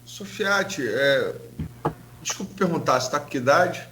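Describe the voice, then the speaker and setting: A man's voice: a little high, expressive, unhurried, a bit bright, a little hiss or hum, poor audio. A man with a Brazilian Portuguese accent hosting a radio interview, asking his questions courteously in a conversational way. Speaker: radio host.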